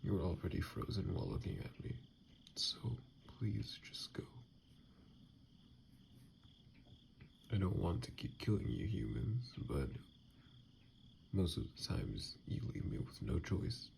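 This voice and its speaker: A man playing a wounded, bitter, self-loathing vampire in an ASMR audio drama, brooding and halting, with a gravelly, breathy voice that often drops near a whisper. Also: deep voice, sing-song, a little mellow, slight background noise, exaggerated voice. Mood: pleading, threatening.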